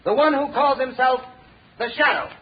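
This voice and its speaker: An actor playing a righteous court official, speaking formally and declaiming in 1930s radio style. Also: male voice, very high-pitched, normal speed, heavy background noise. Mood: grave, accusing. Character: righteous male official denouncing corruption in court. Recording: muffled old radio broadcast, crowd murmur.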